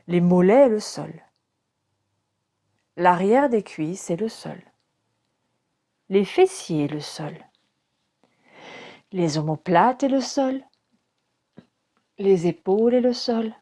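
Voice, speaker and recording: A woman guides a relaxation meditation as a yoga nidra teacher, calm and soothing, speaking in short phrases with pauses. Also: mid-range voice.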